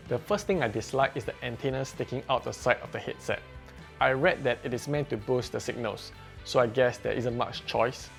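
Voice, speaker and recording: A man reviewing a headset in a plain, measured, explanatory way, as a scripted voice-over. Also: mid-range voice, creaky. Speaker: tech reviewer. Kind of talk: scripted product review narration.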